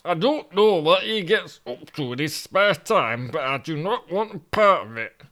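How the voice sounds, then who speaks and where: A man's voice: a little high, lively intonation, unhurried, fairly bright tone, pitched above the performer's own voice. A man voicing a foul-mouthed, grumbling Yorkshire man of forty with a Yorkshire accent. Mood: indignant. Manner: cursing, grumbling, exasperated.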